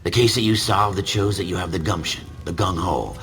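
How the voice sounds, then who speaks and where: An actor playing a gruff, world-weary American police narrator in a noir game, slangy and knowing. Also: male voice, low voice, a little fast, a little hiss or hum. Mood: hard-boiled, knowing. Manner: slangy, knowing, hard-boiled. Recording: loud music over the voice.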